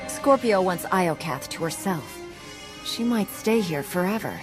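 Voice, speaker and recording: A woman's voice: lowish voice, animated, unhurried. A woman playing a defiant, sardonic heroic commander in a video game. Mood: firm. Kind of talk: video game cutscene acting. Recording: loud game music over voice.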